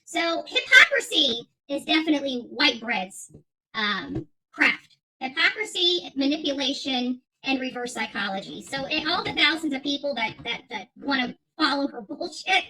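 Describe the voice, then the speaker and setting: A woman's voice: high voice, lively intonation, moderate pace, bright, forceful tone, slight background noise, poor audio. A middle-aged woman giving an unscripted livestream rant as channel host, talking emphatically and combatively and repeating her phrases. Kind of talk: unscripted livestream rant, reading and answering viewer chat.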